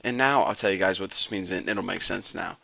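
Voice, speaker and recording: A raspy-voiced young man casually teaching a programming lesson to viewers. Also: low voice, fairly quick, pushed and bright, dry recording. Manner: casual, chatty, talks to viewers as guys. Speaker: programming tutor. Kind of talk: casual screen-recorded coding tutorial.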